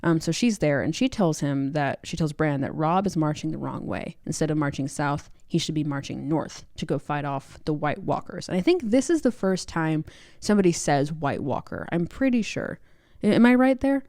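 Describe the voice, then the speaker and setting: A woman's voice: sits low, normal speed, darkish tone, no room sound. Casual woman co-host, close dry sound. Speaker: book club podcast co-host. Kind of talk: casual book discussion, recapping plot.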